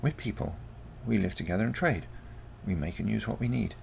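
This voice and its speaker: A man voicing a calm, confident teenage granddaughter from the future, matter-of-fact and explanatory, in a storybook. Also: fairly low pitch, a little fast, gravelly, slight background noise, pitched above the performer's own voice. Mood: earnest. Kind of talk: storybook character dialogue.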